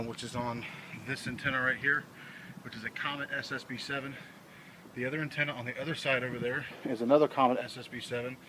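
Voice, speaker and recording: A man informally explaining in a casual, hands-on walk-through as a hobbyist demonstrating his own gear. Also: mid-range voice. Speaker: amateur radio hobbyist presenting his truck installation. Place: outdoors beside a truck, later inside its cab. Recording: noisy handheld vehicle video, ambient noise.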